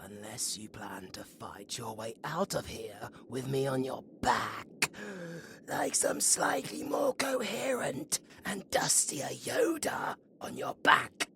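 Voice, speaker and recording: A man with a British accent playing a flirtatious, gleefully wicked undead necromancer in a theatrical, taunting audio roleplay, slipping between breathy whispers and shouts. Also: on the low side, slight background noise, exaggerated voice. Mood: flirtatious, wry. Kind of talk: ASMR audio roleplay acting.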